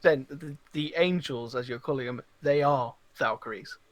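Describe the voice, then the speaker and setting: A man co-hosting a podcast, chatting casually about films and games with halting, hesitant phrasing. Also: mid-range voice, no echo. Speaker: podcast co-host. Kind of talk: casual film and game chat.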